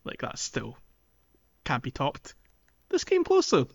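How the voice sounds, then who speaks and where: A man's voice: on the high side, huge pitch swings, slow pace, vocal fry, lo-fi sound. A man hosting a casual gaming podcast, talking in an enthusiastic, geeky way. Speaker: podcast host. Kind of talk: casual game discussion podcast.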